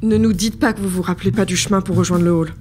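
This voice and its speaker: An actress playing an eager, cheeky adventurer with a know-it-all manner in a comic audio drama. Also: female voice, on the low side, fairly even intonation, quick pace, a little mellow, natural voice. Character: eager, cheeky female time-travel adventurer. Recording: music behind the voice.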